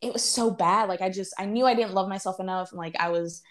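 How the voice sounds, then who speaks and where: A woman's voice: mid-range voice, quick pace. A woman in her twenties talking candidly in a casual chat, full of "like" fillers. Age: 20s. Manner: candid, heavy use of "like".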